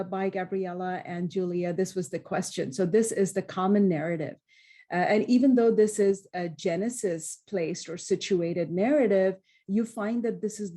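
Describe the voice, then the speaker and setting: A woman with a soft-edged, mellow voice at a middle pitch speaks as an academic panelist. She explains step by step and often restarts a phrase along the way. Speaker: scholar of Islamic studies, panelist.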